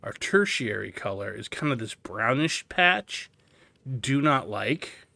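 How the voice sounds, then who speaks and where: Male gameplay guide host, mid-pitched and bright, casual, explanatory, thinks aloud. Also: lively intonation, slow, gravelly, dry recording. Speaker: video game tutorial host. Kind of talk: casual gameplay walkthrough commentary.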